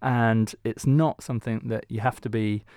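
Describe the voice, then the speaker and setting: A man's voice: mid-range voice, fairly even intonation, moderate pace, a little mellow, clean tone, no room sound, clean studio sound. A middle-aged man co-hosting a conversational interview, informal and a little hesitant in his phrasing. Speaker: interview co-host.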